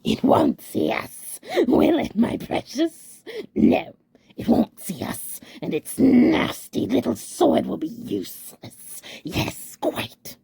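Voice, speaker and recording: A woman reading a storybook and voicing a slimy, wretched male cave creature who hisses and whispers, lurching between squeaky highs and low growls. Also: wildly swooping pitch, slow pace, dark tone, heavily acted. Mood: sly. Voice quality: hissing, raspy, whispery.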